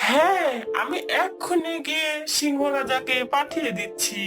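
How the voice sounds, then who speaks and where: An actress voicing a proud, gullible lioness in a children's cartoon. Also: female voice, high voice, lively intonation, moderate pace, over music. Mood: credulous, eager. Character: gullible, proud lioness, easily tricked by flattery. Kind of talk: children's cartoon story dialogue.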